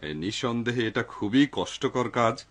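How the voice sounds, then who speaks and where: A man's voice: medium pitch, expressive, a little slow, fairly bright tone. A man voicing a kindly, trusting older householder in a cartoon. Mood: courteous. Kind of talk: dubbed cartoon acting.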